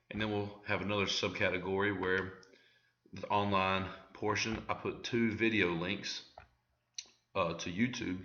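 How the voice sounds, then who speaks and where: Hoarse, deep-voiced man with a cold. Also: sharp tone, highs cut off. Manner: halting, with frequent ums and uhs. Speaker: educator presenting a screen recording. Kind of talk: screen-recorded tutorial walkthrough.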